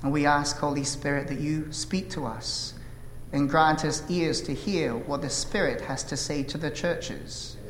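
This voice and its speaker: A man's voice: on the high side, fairly even intonation. Korean-born pastor guy in his 30s, earnest, lots of uh's, roomy echoey church sound. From South Korea. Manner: earnest, measured, frequent "uh" fillers. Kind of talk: sermon with opening prayer. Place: church sanctuary. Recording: echoey hall reverb, faint room noise.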